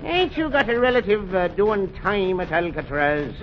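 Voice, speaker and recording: Bullying 1940s radio-comedy cop: high, barking, brusque, noisy old recording. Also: male voice, sing-song, moderate pace. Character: bullying, hot-tempered police interrogator. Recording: muffled, noisy 1940s radio broadcast, live audience.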